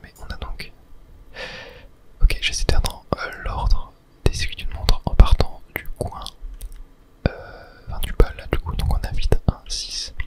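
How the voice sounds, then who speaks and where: A person whispering ASMR commentary over a video game on a close mic, thinking aloud hesitantly and correcting themselves as they go. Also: normal speed. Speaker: gaming video host.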